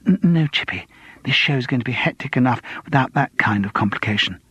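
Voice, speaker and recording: A man's voice: mid-range voice, expressive, brisk, a bit bright, breathy edge, no room sound, lo-fi sound. A man voicing a flustered, anxious woman from a TV production team in an audiobook, with an urgent, hissing manner. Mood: hushed, harried. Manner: urgent, breathless hissing and gasping. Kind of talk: audiobook character dialogue.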